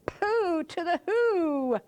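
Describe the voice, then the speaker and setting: Lady doing a grumpy spiteful storybook creature, pitched way up, swoopy and gloating. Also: animated, very slow, a little mellow, a little hiss or hum, exaggerated voice. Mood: scornful. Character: grumpy, gleefully spiteful male holiday-hating storybook creature.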